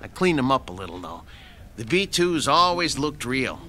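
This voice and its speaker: A man's voice: mid-range voice, very animated, moderate pace, sharp tone, a little hiss or hum. An actor playing a hungry, grumbling male mechanic sidekick with a whiny, joking way of talking in a radio drama. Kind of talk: 1960s-style radio drama acting.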